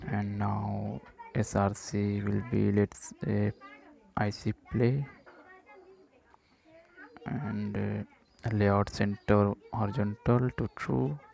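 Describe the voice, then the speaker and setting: A man slowly talking through app-building steps in a coding tutorial, dictating each step with short pauses and fillers. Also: fairly low pitch, vocal fry, low-quality recording. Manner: slow, flat dictation of code steps with "uh" fillers. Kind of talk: screen-recorded coding walkthrough.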